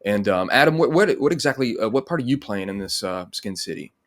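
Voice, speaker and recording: Man, interview host, brisk pace, casual chat, agreeable, lowish pitch. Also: animated, fairly bright tone. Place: indoor room, video call.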